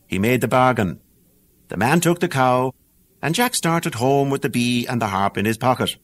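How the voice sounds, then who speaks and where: Lively Irish man telling fairy tales. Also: mid-range voice, normal speed. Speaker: audiobook narrator. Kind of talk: storybook narration.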